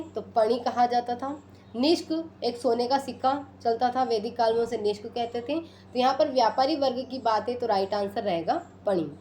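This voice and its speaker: A woman's voice: fairly high pitch, a little mellow, a little hiss or hum. A woman teaching an exam quiz lesson, reading out questions and options one by one and then giving the answers. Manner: instructional, reads out items one by one.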